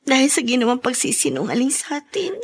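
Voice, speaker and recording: A woman's voice: high voice, wildly swooping pitch, quick pace, slightly rough, exaggerated voice. A woman playing a troubled but determined grown younger sister, speaking in a subdued, earnest way in a radio drama. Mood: quietly determined. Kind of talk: Tagalog radio drama acting.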